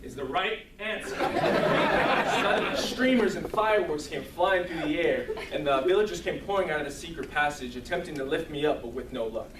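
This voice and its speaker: A man giving a humorous storytelling speech to an audience in a theatrical, deliberate comic manner. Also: fairly high pitch, animated. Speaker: speech contest contestant. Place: room with an audience. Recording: roomy, distant mic, clearly noisy.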